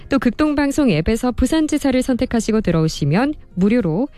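Lively-toned female radio host, bright-edged mellow voice. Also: on the high side, brisk, dry recording. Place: radio studio.

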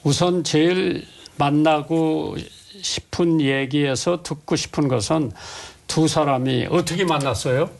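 A man's voice: fairly high pitch, a little slow, creaky, hardly any pauses. An older man hosting a conversational interview, asking blunt questions in an informal, familiar tone. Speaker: host.

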